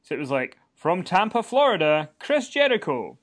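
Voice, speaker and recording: A man's voice: high-pitched, very animated, normal speed. A man co-hosting a wrestling podcast from a hotel room, chatting casually and rambling with many fillers and restarts. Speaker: podcast co-host. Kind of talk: casual wrestling recap chat.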